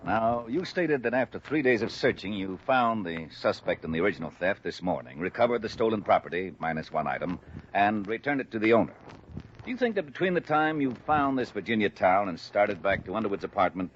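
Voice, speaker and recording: A man playing a probing, businesslike American police lieutenant in a 1950s radio detective drama, official and deliberate. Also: fairly low pitch, very animated. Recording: muffled old radio recording, faint noise.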